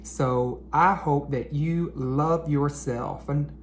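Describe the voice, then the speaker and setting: A man reading aloud to children like an educator, with an expressive, encouraging manner that speaks straight to them. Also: fairly high pitch, slow pace. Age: adult. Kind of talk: animated children's read-aloud. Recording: roomy echo, faint background noise.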